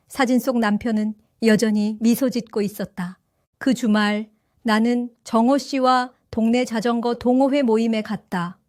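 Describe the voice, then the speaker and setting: Older lady in her 70s narrating her story, a bit high voice, soft and wistful, dry close sound. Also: no room sound. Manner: gentle, reflective, wistful. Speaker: first-person narrator. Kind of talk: storytelling narration for an audio drama.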